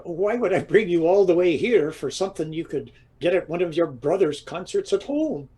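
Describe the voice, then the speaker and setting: A man's voice: sits high, lively intonation, moderate pace, heavy background noise. An actor playing a genial, slightly dim Irish businessman who talks in a hesitant, folksy way. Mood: befuddled. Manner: hesitant, folksy, easily amused.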